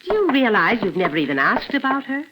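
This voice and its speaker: A woman acting as a fond, fussing, gently probing middle-aged mother in a 1940s radio drama. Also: on the high side, huge pitch swings, fast. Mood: probing, wistful. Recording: noisy old radio recording.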